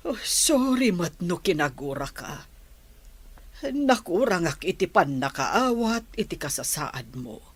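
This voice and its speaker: A woman voicing a caring but strict old grandmother in a radio drama, slow and scolding yet tender. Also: lowish voice, slightly rough, no room sound, exaggerated voice. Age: older. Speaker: grandmother character. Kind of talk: Ilocano radio drama acting.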